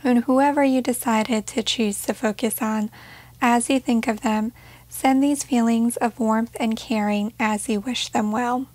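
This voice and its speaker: Higher-pitched woman guiding a meditation. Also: steady tone, no room sound. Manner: gentle, measured, with pauses between short phrases. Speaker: guided meditation instructor. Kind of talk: guided meditation narration.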